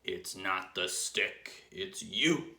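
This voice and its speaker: A man voicing a scheming, gleefully cruel old storybook husband who taunts with emphatic, drawn-out relish. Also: low voice, expressive, creaky, pushed and bright, roomy sound, heavily acted. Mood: gloating.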